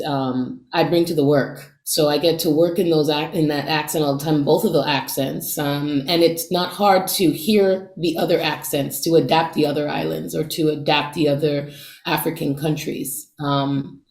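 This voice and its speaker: A woman's voice: low-pitched, fairly even intonation, moderate pace, darkish tone, roomy sound. A woman raised in Jamaica, whose first language is Patois, speaking thoughtfully in an interview. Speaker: actor, cast member. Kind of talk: casual interview chat.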